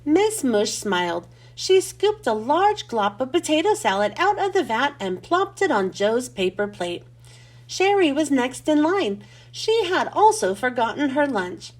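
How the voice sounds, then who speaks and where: Female, very high voice, lively swooping intonation, children's read-aloud host, expressive storytelling. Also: a little slow.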